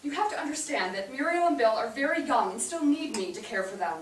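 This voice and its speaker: Actress as firm weary mother, higher voice, gentle explaining, period family stage play, roomy distant sound. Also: expressive, fast talker. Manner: gentle but firm, matter-of-fact maternal explaining. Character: firm, weary mother breaking hard news to her sons. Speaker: stage actor. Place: indoor theatre stage, recorded from afar. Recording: roomy theatre, distant mic, hissy background.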